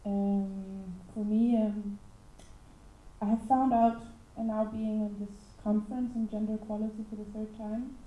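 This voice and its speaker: A woman's voice: medium pitch, level tone, a little slow, narrow, tinny audio. A woman born in Ecuador and raised in Denmark, speaking reflectively at a conference. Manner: reflective, with fillers. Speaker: conference participant. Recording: roomy echo.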